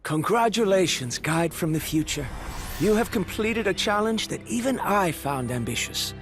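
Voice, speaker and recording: An actor playing a proud legendary adventurer and guide in an anime-style video game, telling a tale with theatrical self-assurance. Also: male voice, high-pitched, expressive, moderate pace, soft background music. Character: proud, adventurous legendary male guide recounting his past.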